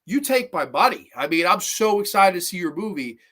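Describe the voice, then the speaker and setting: Stuffy young guy ranting about horror movies on his stream, bright voice, kinda high, swears a bunch. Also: sing-song, brisk. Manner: rambling, opinionated, swears casually, reads chat comments aloud. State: congested with a slight cough. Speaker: host of a horror movie review livestream. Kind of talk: casual livestream review chat.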